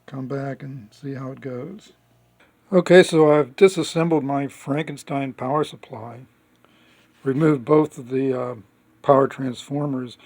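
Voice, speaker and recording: A man giving a casual step-by-step demonstration as a hobbyist, speaking haltingly with pauses as he works. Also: mid-range voice, steady tone, darkish tone. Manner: halting, pausing mid-sentence as he works. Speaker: hobbyist restoring a vintage radio.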